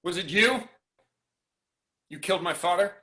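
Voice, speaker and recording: A man's voice: sits high, moderate pace, bright, forceful tone. A man playing a bitter, furious gunman villain in a script table read, angry and accusing.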